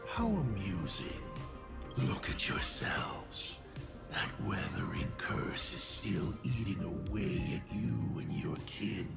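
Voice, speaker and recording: A sinister video game villain lord, deep in gravel and fry, drawing out every taunt. His pitch swoops theatrically between breathy murmurs and mocking flourishes, over loud music. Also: lively intonation, full character voice. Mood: scornful. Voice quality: gravelly, breathy near-whisper. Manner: slow, drawling, theatrical taunting.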